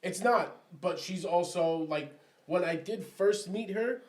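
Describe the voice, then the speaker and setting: A man in his late twenties talks hesitantly as a podcast co-host, his voice a little high. He leans on fillers, and the room gives an echo. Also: measured pace, darkish tone. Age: late 20s. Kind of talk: casual chat. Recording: echoey room.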